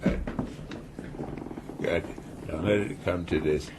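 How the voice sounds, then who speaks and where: Sea captain for radio drama: very low, slow, fatalistic, muttering through pain. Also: male voice, steady tone. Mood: agonised, muttering. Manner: halting, muttering, sardonic. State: weary and in pain from headaches. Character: gruff, ailing, fatalistic male sea captain marooned on his ship. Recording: noisy, boat and sea ambience.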